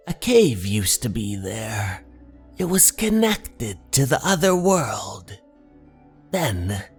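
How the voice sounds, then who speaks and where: A man voicing an ominous local who recounts dark lore in a slow, foreboding way for a horror manga dub. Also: on the high side, breathy edge, pitched above the performer's own voice, full character voice. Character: ominous local person recounting a cursed place's history.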